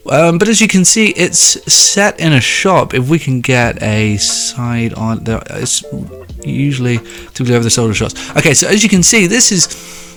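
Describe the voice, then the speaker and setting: A man's voice: big pitch swings, normal speed, a bit bright, faint music behind. Sarcastic young man ranting about a video. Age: 20s. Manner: sarcastic, swearing, big pitch jumps for emphasis. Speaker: video commentator. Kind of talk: sarcastic commentary monologue.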